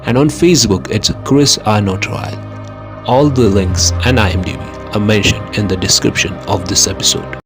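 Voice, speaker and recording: A man's voice: on the low side, normal speed, over music. A man hosting a podcast, reading scripted credits and announcements. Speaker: podcast host.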